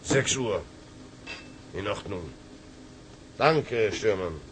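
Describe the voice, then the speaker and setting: An actor playing a weary, gruff German ship's captain, brooding and mixing German into his Dutch. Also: on the low side, expressive, fairly bright tone, a little hiss or hum, heavily acted. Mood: curt, formal. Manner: slow, mixes German into broken Dutch.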